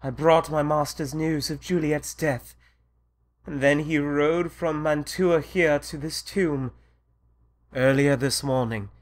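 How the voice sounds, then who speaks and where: A dutiful manservant played by a male actor testifies in an audio tragedy. His voice has an ordinary middle pitch for a man. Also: natural voice. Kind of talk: modernized Shakespeare audio drama acting.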